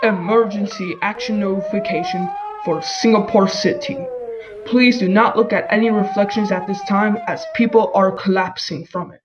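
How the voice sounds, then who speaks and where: A man's voice: sits high, very animated, moderate pace, background noise. A man's synthetic-sounding voice reading out a scripted emergency announcement formally. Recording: dull lo-fi audio.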